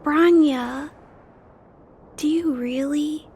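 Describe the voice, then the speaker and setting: A woman's voice: high-pitched, drawn-out words, a little mellow, full character voice. A woman voicing a timid, kind-hearted little girl in a game, speaking in an earnest and hesitant manner. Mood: doubtful. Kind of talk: scripted game voice acting.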